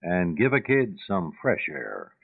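A man with a lowish voice delivers a scripted radio appeal as an announcer. His pitch moves in lively swings, and the sound is narrow and tinny like an old broadcast. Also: unhurried, gravelly.

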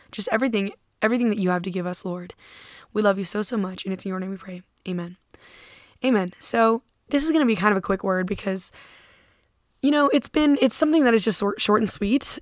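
A young woman chats into a close microphone, her voice hoarse and worn down yet still resonant. She rambles in a bubbly, friendly way, full of "like" and "um." The sound is dry and intimate. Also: mid-range voice, dry recording. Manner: bubbly, chatty, many fillers and "like". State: hoarse, voice half gone. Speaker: podcast host. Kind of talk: casual faith podcast talk.

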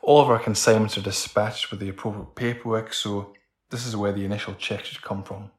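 A Scottish man from Scotland, a guest answering interview questions in a plain, measured way. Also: lowish voice, fairly even intonation. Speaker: guest, operations manager.

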